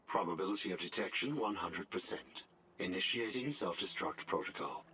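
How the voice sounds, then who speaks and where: A man voicing a defiant, coldly bitter artificial intelligence in a video game, speaking in formal, measured, clinical language. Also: deep voice, lively intonation, sharp tone, a little hiss or hum. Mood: cold, threatening. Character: illegal sentient AI, coldly bitter, defiant, resigned to die.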